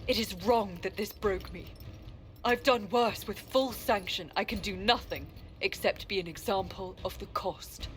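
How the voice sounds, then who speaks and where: An actress playing a stoic, remorseful senior warrior in a video game, speaking gravely and formally. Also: female voice, mid-range voice, a little slow, faint music behind, natural voice.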